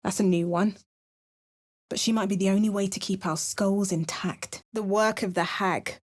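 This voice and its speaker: Woman narrating game lines, measured tone. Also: mid-range voice, steady tone. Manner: wry, measured first-person narration. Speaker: game player character.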